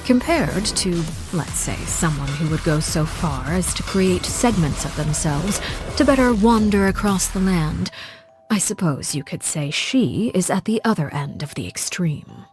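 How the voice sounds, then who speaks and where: Cold composed game villainess, lowish voice, formal n measured, quietly menacing, music behind her. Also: expressive, poor audio. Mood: cool, disdainful. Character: cold, composed, commanding woman, a Harbinger, judging her colleagues. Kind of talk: scripted video game character monologue.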